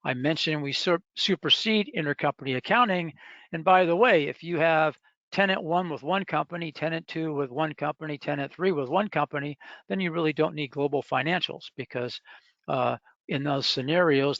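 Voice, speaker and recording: A man with a slightly high voice gives an explanatory product presentation on a web call. He pauses and hesitates as he works through each point. The sound is dry and a little dull, like lo-fi webinar audio. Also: a bit bright, dry recording.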